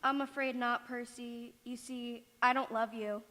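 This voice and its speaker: A poised young lady of vintage radio drama, acted by a woman, with a high voice and a direct, lightly teasing delivery. She is heard in a hall with long reverb. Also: flat delivery, measured pace, fairly bright tone. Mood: gently regretful. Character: frank, poised young woman being courted. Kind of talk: 1940s radio drama acting on stage.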